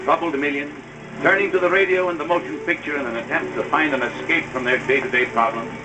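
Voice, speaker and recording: A man's voice: on the high side, poor audio. A man announcing in a dramatic, scripted 1930s narration style.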